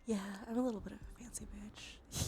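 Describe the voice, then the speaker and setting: A woman streamer chatting in a soft, breathy, often whispered ASMR style, murmuring gently as she thinks aloud. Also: mid-range voice, steady tone, moderate pace. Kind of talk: cozy ASMR game stream chat. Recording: soft background music. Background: music.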